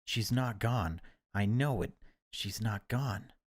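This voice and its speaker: A man plays an anguished older father in a horror game. The voice is low, breathless and cracking, pleading as if close to sobbing. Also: slow. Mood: breathless, near sobbing.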